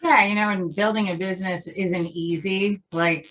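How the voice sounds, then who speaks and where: A woman's voice: mid-range voice, fairly even intonation, normal speed, a bit bright, slight background noise, poor audio. A woman who is an interview guest from the lending business, chatting casually and telling personal stories. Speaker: interview guest, loan officer. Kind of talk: casual chat, personal storytelling.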